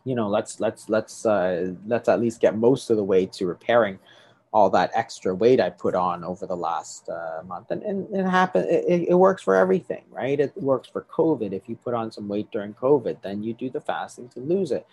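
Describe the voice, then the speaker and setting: A man's voice: lowish voice, moderate pace, a little mellow, husky. A middle-aged man, a doctor, explaining things to an interviewer, often restarting and checking with "right?". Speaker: doctor treating patients.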